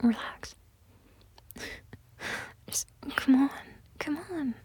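A woman's voice: high voice, drawn-out words, mellow, no echo. A young woman voicing a pushy, entitled woman on a date in a one-sided audio roleplay, talking in a close, whispery way with lots of swearing. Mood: insistent. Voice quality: husky, breathy, gravelly. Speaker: voice actor.

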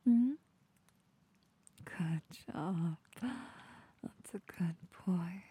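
Slow, breathy whispering woman. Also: lowish voice, expressive. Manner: soft intimate whispering, soothing. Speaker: audio roleplay performer. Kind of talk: whispered ASMR roleplay. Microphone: close microphone.

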